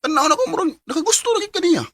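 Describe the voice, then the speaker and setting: A man's voice: extremely high pitch, very animated, rapid-fire, fairly bright tone, a little hiss or hum, heavily acted. A young man playing a teasing, encouraging best friend in an amateur radio drama.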